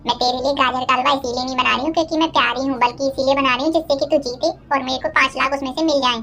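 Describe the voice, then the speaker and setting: A shrill old grandmother voiced by a woman barks orders and threats in a cartoon moral story. She is suspicious and sharp-tongued, pitched very high, over soft music. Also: fairly even intonation, racing. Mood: grudging. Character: gruff, suspicious old grandmother, mistress of the house. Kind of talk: animated moral-story dialogue.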